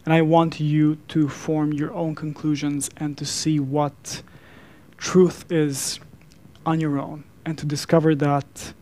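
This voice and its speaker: A man from Serbia with a Serbian accent, a native Serbian speaker, giving an earnest talk in a deliberate, formal manner with emphatic pauses. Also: on the high side, little pitch movement, dark tone. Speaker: speaker, coach and podcaster. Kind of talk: earnest public talk to a digital audience.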